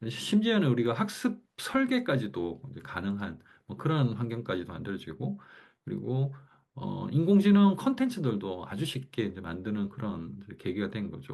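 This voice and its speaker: A man's voice: medium pitch, big pitch swings, normal speed, a little mellow. A man with a Gyeongsang accent giving a casual online class lecture, thinking aloud in a loose, conversational way with frequent fillers. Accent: Gyeongsang Korean. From Gyeongsang region, Korea.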